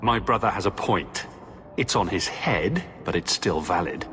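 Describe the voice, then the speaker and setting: An actor playing a confident, composed male adventurer in a video game, measured and diplomatic with a dry edge. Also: sits low, lively intonation, noisy background. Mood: persuasive. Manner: measured, diplomatic, dryly probing. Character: composed, confident human adventurer, the heroic lead. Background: game music.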